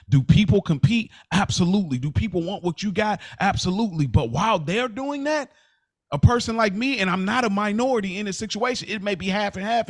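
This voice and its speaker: A man co-hosting a podcast in casual debate, making points emphatically and answering his own questions. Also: high voice. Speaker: podcast co-host.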